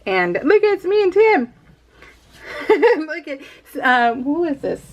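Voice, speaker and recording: A woman's voice: high-pitched, sing-song, slow pace, highs cut off. A woman in her thirties chatting casually while doing a scrapbooking project, rambling and laughing often. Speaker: scrapbooking vlogger. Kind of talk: casual voiced-over craft chat.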